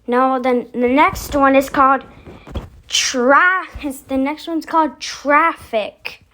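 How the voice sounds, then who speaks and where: A high-pitched voice hosts a casual vlog at an unhurried, slow pace. The speaker rambles along, thinking aloud between one remark and the next. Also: fairly even intonation, sharp tone, a little hiss or hum. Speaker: video host.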